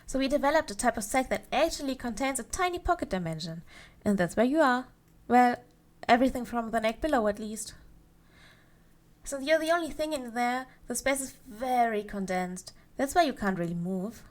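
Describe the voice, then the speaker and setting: A woman playing a cheerful, possessive yandere elf in an ASMR roleplay, speaking to the listener in a coy, playful way with drawn-out phrases. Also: fairly high pitch, animated, close to an everyday voice. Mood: chirpy, matter-of-fact.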